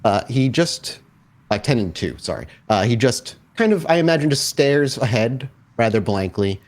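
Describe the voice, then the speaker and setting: A man's voice: mid-range voice, big pitch swings, moderate pace. A man speaking as host and game master of a tabletop roleplaying podcast, casually chatting and narrating scenes.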